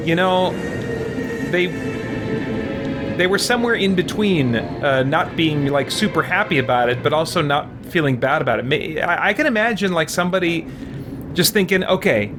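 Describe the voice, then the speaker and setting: A man giving casual let's play commentary, musing in a halting way with repeated restarts. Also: on the high side, expressive, music in the background.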